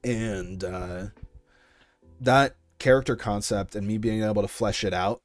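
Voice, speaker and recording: Male, twenties, lowish pitch, casual interviewee, hesitant delivery, dry recording over soft music. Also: steady tone, a little slow, no room sound. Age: 20s. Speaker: creator being interviewed about an audio project.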